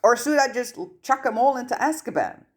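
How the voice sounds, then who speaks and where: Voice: mid-range voice, a little fast, voice pushed up, natural voice. A reader voicing a wry, unbothered male chief of wizard law enforcement, dry and sardonic.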